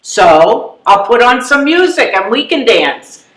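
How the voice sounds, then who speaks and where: A woman's voice: on the high side, sing-song, takes it slowly, fairly bright tone, heavily acted. An older woman playing a forthright, wry senior lady in a readers theatre comedy, with pointed delivery. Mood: insistent, frank. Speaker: amateur readers theatre performer. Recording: roomy, echoing live stage recording.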